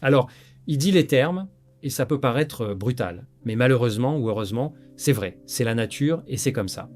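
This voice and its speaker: A man's voice: medium pitch, animated, moderate pace, a little mellow, faint music behind. A man narrating scripted commentary over clips in a blunt, lecturing way. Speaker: commentary channel host.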